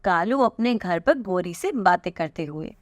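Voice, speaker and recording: A woman's voice: fairly low pitch, expressive, measured pace. A woman narrating a scripted children's story as its storyteller. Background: music.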